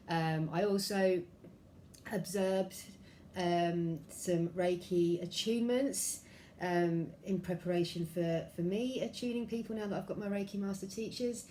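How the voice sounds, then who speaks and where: Croaky woman with a cold. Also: medium pitch, a little hiss or hum. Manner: chatty, warm-hearted, many ums and throat clearings. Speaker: angel card reader. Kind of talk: casual spiritual vlog talk. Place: room at home by a window.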